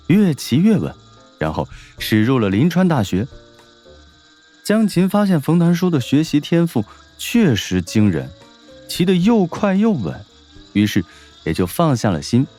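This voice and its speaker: Lively male audiobook narrator. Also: fairly high pitch. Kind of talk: dramatic scripted narration of a novel.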